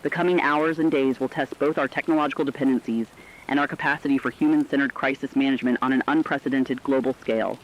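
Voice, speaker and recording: A man playing a sober, urgent radio correspondent, delivering a formal, deliberate scripted report on societal collapse. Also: mid-range voice, fairly even intonation, a little hiss or hum, lightly acted. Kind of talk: scripted dramatic news report. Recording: dull lo-fi radio sound.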